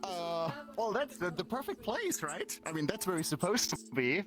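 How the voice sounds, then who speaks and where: A man from Germany with a German accent, chatting excitedly in a game, stammering and yelling. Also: high-pitched, normal speed, music in the background. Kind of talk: casual chat while playing a game.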